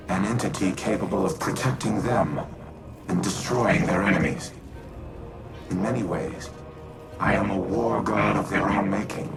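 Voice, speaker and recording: A cold, resolute war machine speaks in a low, gravelly register, weighing each word,. A loud orchestral score plays behind the game dialogue. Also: male voice. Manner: measured, calculated, grave. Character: ancient male warmind AI, cold and resolute. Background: loud score music.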